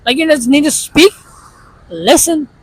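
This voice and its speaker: A man's voice: very high voice, animated, a little slow, dry recording, poor audio. Earnest young man, Pakistani accent. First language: Urdu. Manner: earnest, explaining directly to the viewer. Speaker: vlogger. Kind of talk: casual advice to camera.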